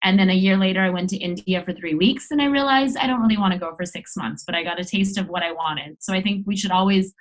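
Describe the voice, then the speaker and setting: A woman's voice: medium pitch, fast, hardly any pauses, low-quality recording. Female interview guest, thirties, American. Conversational, open and talkative, mid-range voice. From Los Angeles, United States. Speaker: interview guest, travel blogger.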